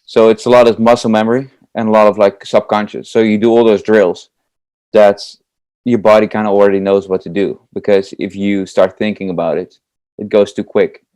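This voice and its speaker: A man's voice: fairly low pitch, level tone, a little fast, a little mellow, no echo. A young Dutch man with a Dutch accent, a juggler answering interview questions in an eager, chatty way with many fillers. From Netherlands. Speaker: guest, comedy juggler.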